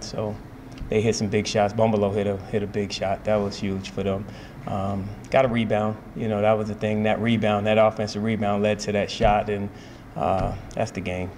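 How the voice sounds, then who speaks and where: Man, forties, basketball coach at a post-game presser, low flat voice, steady, filler-heavy, table mics, faint room hum. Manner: measured, steady, repeats phrases with many fillers. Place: press room in front of a sponsor backdrop. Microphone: table microphones.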